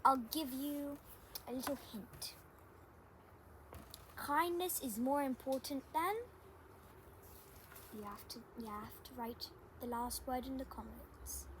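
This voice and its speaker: A female storyteller with a very high voice reads to children. Her pace is slow and measured, and a faint noise sits behind her. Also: rough recording. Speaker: storybook reader, channel host. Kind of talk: children's story read-aloud.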